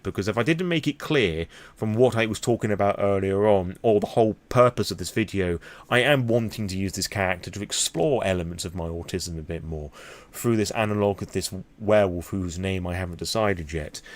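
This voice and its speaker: A man's voice: deep voice, a little fast. A British man talking off the cuff to his viewers in a personal, opinionated vlog, blunt and emphatic in manner. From Britain. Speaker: vlogger on roleplaying games.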